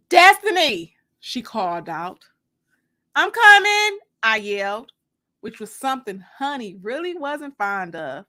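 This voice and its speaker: A woman's voice: mid-range voice, fairly bright tone. A woman reading her own novel aloud as a dramatic first-person audiobook narration.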